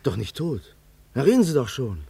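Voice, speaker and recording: An actor playing an anxious, hesitant man under police questioning, husky-voiced, in a radio drama. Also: medium pitch, very animated, moderate pace, a crowd in the background. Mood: uneasy. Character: uneasy male visitor questioned by police.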